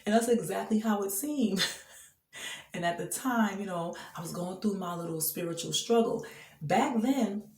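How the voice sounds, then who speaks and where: Earnest woman, lowish voice, roomy echo. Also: darkish tone. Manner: earnest, emphatic, laughs often between thoughts. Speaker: person sharing a faith testimony. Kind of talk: heartfelt personal testimony, casual.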